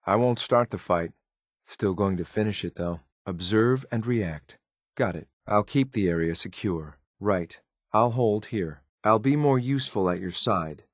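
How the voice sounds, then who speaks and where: Deep, deadpan synthetic soldier. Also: male voice, measured pace, no room sound, clean studio sound. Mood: clipped, businesslike. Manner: clipped, deadpan, military formality. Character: stern, loyal synthetic courser soldier, cool and lethal. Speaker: combat companion in a video game. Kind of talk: scripted video game voice lines.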